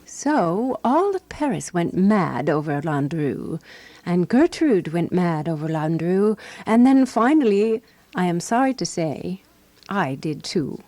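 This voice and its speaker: A woman's voice: lowish voice, very animated, takes it slowly, a little mellow. A woman playing a prim, wry 1920s Parisian resident, narrating a radio drama in a precise, dryly disapproving way. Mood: wry, reminiscing. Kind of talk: scripted first-person radio drama narration.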